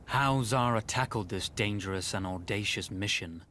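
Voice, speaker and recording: A man playing a composed, wry officer who narrates a desert war game in measured, polite, deliberate phrases. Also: lowish voice, sharp tone, slight background noise. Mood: measured, grave. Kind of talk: dramatic scripted narration and cutscene dialogue.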